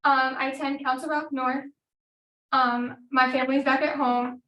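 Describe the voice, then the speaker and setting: A woman's voice: high voice, little pitch movement, unhurried, fairly bright tone, lo-fi sound. A teenage girl from Pennsylvania introducing herself as a student, hesitant with many ums. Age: teens. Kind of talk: self-introduction. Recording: echoey room, distant mic, faint noise.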